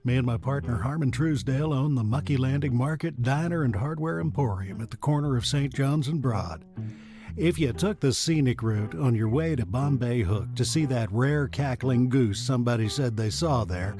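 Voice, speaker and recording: A man's voice: mid-range voice, normal speed, music in the background, close to an everyday voice. A man playing a dry, folksy, easily exasperated small-town store owner in a comedy audio drama. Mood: wry narration. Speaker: lead character and narrator of a comedy podcast.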